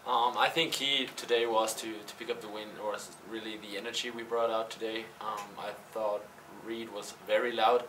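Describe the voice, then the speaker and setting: Young man, low flat voice, hesitant. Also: normal speed, hardly any pauses. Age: young adult. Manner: hesitant, many fillers and restarts. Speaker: college tennis player. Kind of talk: post-game press interview. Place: press backdrop room. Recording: slightly distant, faint room noise.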